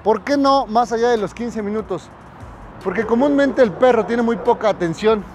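A man's voice: high voice, moderate pace, a little mellow, soft background music. A middle-aged man training a dog on a residential street, speaking directly to viewers in an instructive way, on a lapel mic as he walks. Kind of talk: outdoor training tutorial.

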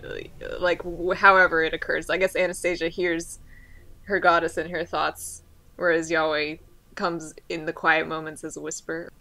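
A woman's voice: sits low, level tone, normal speed, sharp tone. A woman co-hosting a casual podcast, reading a chapter summary with wry, sarcastic asides. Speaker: podcast co-host.

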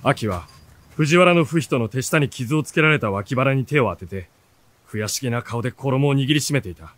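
An actor playing an observant young companion who narrates an adventure in a dramatic, scripted radio style. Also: male voice, mid-range voice, expressive, moderate pace, fairly bright tone, dry recording. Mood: lyrical narration.

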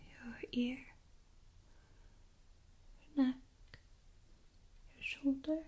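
A young woman performs a soft ASMR role play as an energy healer, slipping between a high, gentle voice and breathy whispers. She guides the listener extremely slowly and soothingly, very close to a binaural microphone. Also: steady tone. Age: young adult. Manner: slow, soothing, hushed guiding. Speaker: ASMR performer playing a Reiki practitioner.

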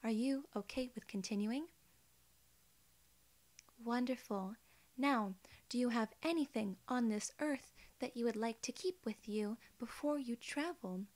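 A woman in her twenties voicing a polite alien gatekeeper in soft-spoken, measured, reassuring ASMR role-play. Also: fairly high pitch, natural voice. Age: 20s. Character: polite, formal female alien gatekeeper at a wormhole.

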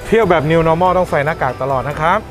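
A man's voice: a little high, fast, loud music behind the voice. A man chatting and explaining things casually as a vlog co-host.

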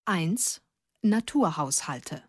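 A woman reading the title and credits of a radio play in a formal, deliberate way. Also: on the low side, lively intonation, husky, faint music behind. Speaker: title announcer.

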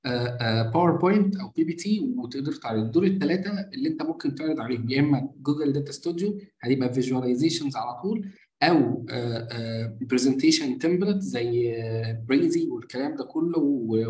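An Egyptian man leads a live marketing coaching session and rushes through his explanation at great speed. He walks through each step by asking himself questions and answering them. His voice bounces around a noticeably echoey room. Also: medium pitch, dark tone. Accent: Egyptian Arabic. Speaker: marketing mentor.

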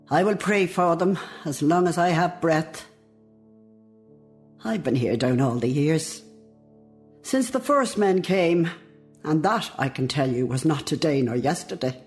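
A woman's voice: sits low, a little mellow. An actress playing a devout, fond old Irish woman in a reflective radio play monologue, with an Irish accent. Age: older.

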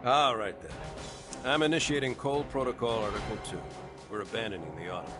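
A man playing a grave, steadfast middle-aged starship captain in a video game, speaking with measured military authority. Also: fairly low pitch, close to an everyday voice. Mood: grave, resolute. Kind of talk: dramatic video game acting. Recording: game audio under loud music.

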